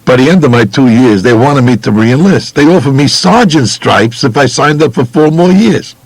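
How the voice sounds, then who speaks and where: A man's voice: medium pitch, lively intonation, moderate pace, slightly rough, no room sound, low-quality recording. An actor voicing a blunt, unrepentant mobster with a New York accent, recounting his life in slangy audiobook memoir. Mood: matter-of-fact. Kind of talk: first-person audiobook memoir, colloquial.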